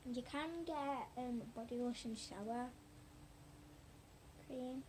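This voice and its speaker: Hoarse, high-pitched woman losing her voice. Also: takes it slowly, darkish tone. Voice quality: hoarse, breathy, often dropping out into whisper. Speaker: slime video maker talking to viewers. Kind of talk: casual hands-on demonstration chat to camera. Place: at home indoors. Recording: noisy amateur home recording.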